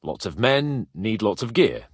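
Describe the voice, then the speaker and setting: An earnest, proud medieval nobleman, with a rough, husky and fairly low voice. He speaks formally and with emphasis, his pitch moving dramatically as he makes his point. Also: big pitch swings, measured pace, no room sound, studio quality, full character voice. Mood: serious, explaining. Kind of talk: video game character dialogue.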